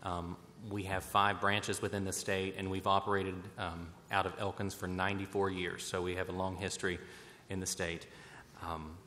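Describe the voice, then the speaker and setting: Bright-voiced American man in an echoey hall. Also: low-pitched, flat delivery, normal speed. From West Virginia, United States. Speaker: community bank president. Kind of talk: prepared remarks at a public roundtable. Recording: echoey hall, noticeable background noise.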